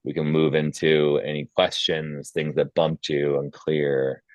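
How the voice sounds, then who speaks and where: A man's voice: rumbling low, level tone, unhurried. A man hosting a casual script feedback session, speaking in an encouraging, informal way over a video call. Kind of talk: casual facilitation and critique. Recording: echoey, dull video-call audio.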